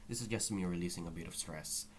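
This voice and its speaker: A man's voice: low-pitched, quick pace, slight background noise. A young man with a Filipino accent giving a candid, apologetic personal update to viewers, recorded straight on an unprocessed microphone. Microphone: raw microphone with no processing.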